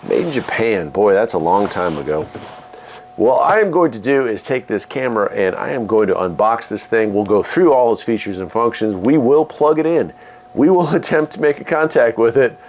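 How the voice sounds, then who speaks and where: An American man hosting a casual unboxing and demonstration, talking in a folksy, conversational way as he thinks aloud. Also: medium pitch. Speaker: video host.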